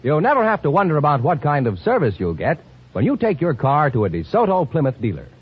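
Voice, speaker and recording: High-pitched, animated male radio announcer. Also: quick pace, over loud music. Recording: muffled, rough 1950 radio recording, audience.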